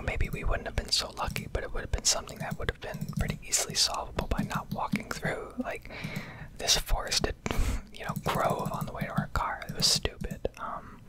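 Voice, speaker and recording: A young American woman in her early twenties speaks entirely in a whisper. She rambles in a casual, conversational ASMR style, as a creator chatting to her viewers, and now and then drops a swear word. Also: poor audio. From Connecticut, United States. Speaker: ASMR gaming video creator.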